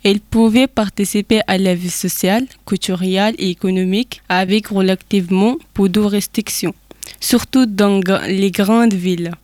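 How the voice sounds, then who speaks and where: A woman's voice: medium pitch, steady tone, normal speed, a little mellow, no echo. Woman from Afghanistan, careful reader with slight stumbles, dry close recording. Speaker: testimony speaker. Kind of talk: reading a prepared testimony.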